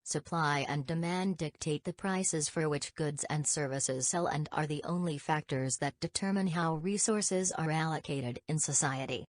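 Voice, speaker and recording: Lady reading exam practice texts, really low voice for a woman, no echo at all, formal and measured. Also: studio quality. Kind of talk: scripted read-aloud of exam practice passages.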